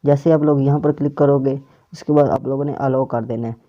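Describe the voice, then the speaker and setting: A man's voice: medium pitch, steady tone, fairly quick. A man presenting a casual step-by-step app tutorial, often repeating and rephrasing his instructions. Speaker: tutorial host. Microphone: clip-on or plugged-in microphone. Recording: dull, muffled lo-fi microphone.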